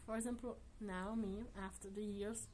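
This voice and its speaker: A woman talks casually to her viewers in a mid-pitched voice. Her English is earnest and chatty, with non-native phrasing and soft laughs here and there. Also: fairly even intonation, normal speed, a little hiss or hum. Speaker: vlogger. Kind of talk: casual chat to camera.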